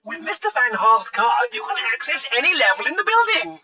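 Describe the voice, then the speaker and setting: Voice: high voice, very animated, fairly quick. An actor voicing a small, gleefully happy, devoted robot with a chirpy electronic voice and florid, effusive speech in a radio comedy. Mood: blissful. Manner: florid, effusive, over-articulate. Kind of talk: radio comedy science fiction acting.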